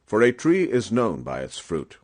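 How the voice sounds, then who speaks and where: Male audiobook narrator, lowish pitch, very animated intonation, dramatic scripture reading, solemn, measured, emphatic.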